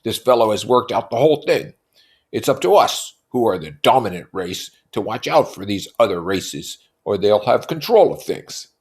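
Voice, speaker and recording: A man's voice: on the low side, animated, normal speed, darkish tone, pitched above the performer's own voice. A man voicing a pompous, irritable, bullying rich husband from a novel in an emphatic, blustering manner. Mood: insistent, pompous.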